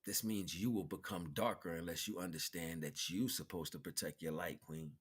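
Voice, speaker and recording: A man's voice: low voice, fairly even intonation, normal speed, slightly rough. A man giving an improvised spiritual talk in colloquial language, repeating phrases for emphasis and checking in with rhetorical questions. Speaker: spiritual teacher, livestream host. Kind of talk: improvised spiritual lecture, streamed.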